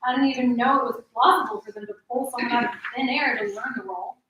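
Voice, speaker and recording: A woman's voice: on the high side, steady tone, quick pace, background noise, lightly acted. A young woman acting a skeptical, sassy, gossipy castmate in a staged play reading. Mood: sardonic. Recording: echoey room, distant mic.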